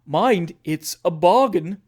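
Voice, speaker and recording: A reader voicing a scheming society matron in a storybook, falsely amiable, flattering and insinuating. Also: medium pitch, slow pace, breathy edge. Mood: flattering, sly.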